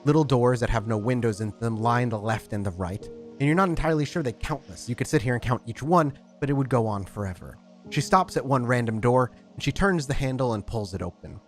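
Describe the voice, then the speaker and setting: A man's voice: mid-range voice, lively intonation, faint music behind. Man narrating a tabletop game. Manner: descriptive, unhurried narration with frequent fillers. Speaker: storyteller.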